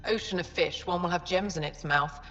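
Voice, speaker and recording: An actress playing a reserved, dignified alien lead scientist in a video game, speaking formally and in measured phrases. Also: female voice, on the low side, bright, forceful tone, a little hiss or hum. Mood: wary. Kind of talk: cinematic video game acting. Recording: dull game audio, long reverb, faint music.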